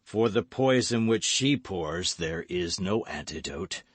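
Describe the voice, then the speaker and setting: A man's voice: animated, slow, dry recording, exaggerated voice. A man doing a grave brooding nobleman. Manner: low, grave, murmuring.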